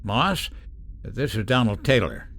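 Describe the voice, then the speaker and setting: A man's voice: on the low side, sing-song, a little slow, a bit bright, exaggerated voice. An actor playing an anxious, evasive middle-aged father and war official in a radio drama, speaking hesitantly and with reserve. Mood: uneasy.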